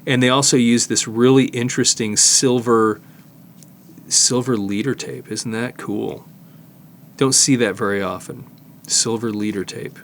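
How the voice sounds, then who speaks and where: An older man giving a casual hands-on demonstration, explaining haltingly and thinking aloud as he works. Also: medium pitch, steady tone, a little hiss or hum. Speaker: host, tape digitizing technician. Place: studio, indoors.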